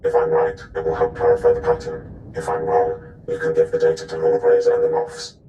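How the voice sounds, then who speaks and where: An actor playing a secretive, cautious informant in a video game, speaking tersely through a heavy electronic voice scrambler. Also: male voice, a bass voice, huge pitch swings, a little fast, dark tone, a little hiss or hum, full character voice. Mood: guarded, urgent. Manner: terse, cryptic. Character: cryptic disguised ally, cautious and secretive informant. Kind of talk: scripted video game acting. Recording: echoing, electronically processed voice.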